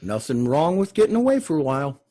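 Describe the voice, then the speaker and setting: A man's voice: on the high side, very animated, fast talker, dark tone. A man voicing a genial middle-aged small-town man in a television drama read, folksy, rambling and reflective. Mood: gentle, reflective. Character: genial, hefty forty-four-year-old small-town man.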